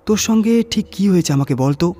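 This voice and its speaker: A man's voice: high-pitched, expressive, quick pace, dark tone, pitched above the performer's own voice. A man voicing a sceptical, bossy senior truck driver who scolds and dismisses his helper in a horror audio story. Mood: questioning. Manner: dismissive, challenging, exasperated.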